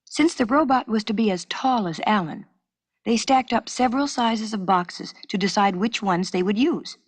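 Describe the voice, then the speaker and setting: A woman reading a scripted children's educational story in an expressive storytelling manner, on a 1970s cassette recording. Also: medium pitch, normal speed, slightly rough, no echo. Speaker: narrator of a children's educational filmstrip.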